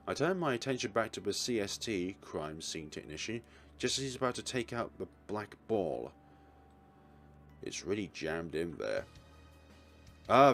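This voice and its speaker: A man reading a visual novel aloud as a let's player, now and then stumbling over words and correcting himself. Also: fairly low pitch.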